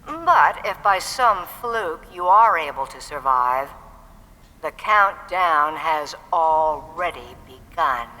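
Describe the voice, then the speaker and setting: Cold menacing villain, acted out by a performer, bright forceful edge, deliberate taunting, long hall reverb, audio drama. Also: medium pitch, expressive. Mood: gloating menace.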